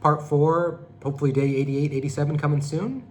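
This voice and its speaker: A man's voice: fairly high pitch, steady tone, brisk, slight background noise. A man in his thirties from California, talking casually and rambling a bit while giving a vlog update. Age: 30s. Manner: rambling, conversational, self-correcting. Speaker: vlogger.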